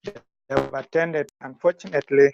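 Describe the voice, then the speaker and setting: An older man in his sixties with a Ugandan accent, giving measured, formal interview answers as a school founder. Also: mid-range voice, fairly even intonation, creaky, darkish tone, a little hiss or hum. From northern Uganda. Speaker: school founder and retired teacher. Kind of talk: interview answers on a video call. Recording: muffled lo-fi call audio.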